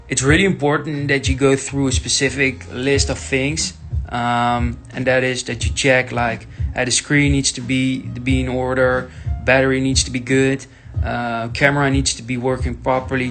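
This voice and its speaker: A young man from the Netherlands with a Dutch accent, hosting a casual tech Q&A with many pauses, ums and restarts. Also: medium pitch. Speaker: tech channel host. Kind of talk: casual Q&A monologue.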